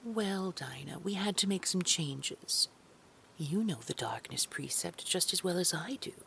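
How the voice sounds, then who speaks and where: An actress playing a controlling, menacing female boss in an audio drama, with syrupy, condescending manner and a creaky voice that drops toward a whisper. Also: lowish voice, lively intonation, unhurried, fairly bright tone, slight background noise, full character voice. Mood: cold, threatening. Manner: syrupy endearments, condescending, veiled threat. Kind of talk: scripted audio drama roleplay.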